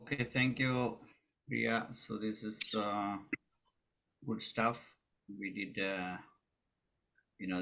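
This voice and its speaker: A man with a low but bright, forceful voice hosts a community meeting. He talks in a loose, halting way, restarting phrases and leaning on filler words. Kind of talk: casual meeting talk.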